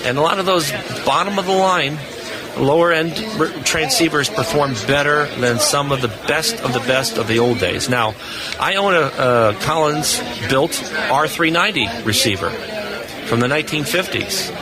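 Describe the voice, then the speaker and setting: A man's voice: on the high side, animated, moderate pace. Enthusiastic man explaining over convention crowd noise. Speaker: radio lab expert guest. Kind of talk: casual technical explanation in a live interview. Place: busy hamfest exhibit hall, live booth. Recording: live from a crowded convention floor.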